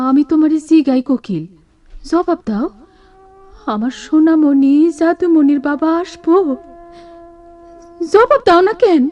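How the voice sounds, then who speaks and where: A woman's voice: sits high, warm tone, lo-fi sound. An actress playing a rustic village woman full of longing in a radio drama, with a rural eastern Bengali dialect and a pleading, lyrical manner. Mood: desperate pleading.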